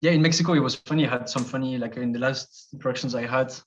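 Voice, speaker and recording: A man's voice: medium pitch, fast, a little hiss or hum. A man from Switzerland, a travel video creator, talking through his ideas in a casual interview, thoughtful and hesitant, with many fillers and restarts. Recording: dull lo-fi podcast audio.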